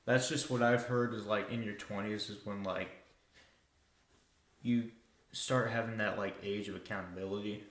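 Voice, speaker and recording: A man's voice: lowish voice, steady tone, normal speed, long pauses, a bit bright. A twenty-year-old man co-hosting a casual podcast, speaking in a hesitant way with lots of filler words. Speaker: podcast co-host. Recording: echoey room.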